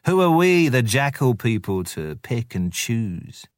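A man voicing a mean, mischievous male jackal who wheedles and flatters in a drawn-out, sing-song way. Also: medium pitch, no echo, clean studio sound, pitched above the performer's own voice. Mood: fawning.

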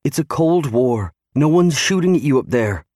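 A man's voice: a little high, animated, normal speed, a little mellow, dry recording, clean studio sound, voice pushed up. A man voicing a distraught, pleading wife of twenty-nine in an audiobook, afraid of losing her husband. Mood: anxious protest. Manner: pleading, protesting. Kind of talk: audiobook character acting.

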